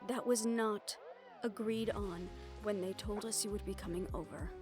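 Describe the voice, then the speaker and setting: A woman's voice: medium pitch, fairly even intonation, normal speed, music in the background, lightly acted. A woman playing a mafia boss's blunt, exasperated, loyal girlfriend in an audio roleplay, swearing and sarcastic. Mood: indignant, restrained. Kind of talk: audio roleplay acting to the listener.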